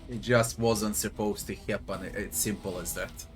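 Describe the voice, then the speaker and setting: A man's voice: on the low side, steady tone, unhurried. A man from Serbia with a Serbian accent gives casual game commentary, grumbling, swearing and muttering to himself. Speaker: gamer doing a playthrough. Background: faint game music.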